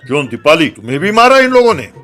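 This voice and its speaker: A man's voice: on the high side, very animated, quick pace, fairly bright tone, soft background music. A man voicing a cocky, scheming thief fresh out of jail in a comedy cartoon. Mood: teasing. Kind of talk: Hindi comedy cartoon dialogue.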